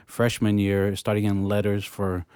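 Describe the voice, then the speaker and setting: New Zealand-born pastor, low and smooth. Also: male voice, completely flat delivery, darkish tone, no echo, clean studio sound. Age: 30s. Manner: halting, with many ums and restarts. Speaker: campus pastor, guest. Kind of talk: casual interview.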